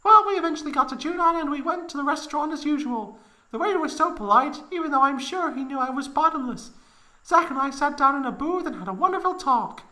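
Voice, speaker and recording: A woman's voice: high voice, lively intonation, fast, pushed and bright. A woman playing a proud, melodramatic young woman recounting an embarrassing tale in a comedic fan fiction reading, overwrought and close to giggling. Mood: dramatic, chatty.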